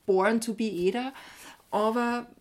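A woman's voice: a little high, steady tone, slow, over a noisy crowd. A woman with an Austrian accent, co-hosting a podcast and giving her opinions in informal, casual chat. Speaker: podcast co-host.

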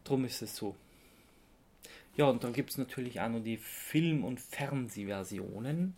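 Male podcast host, Austrian accent, gravelly fry, informal explainer, halting delivery. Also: medium pitch, darkish tone. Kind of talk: casual podcast chat, explaining.

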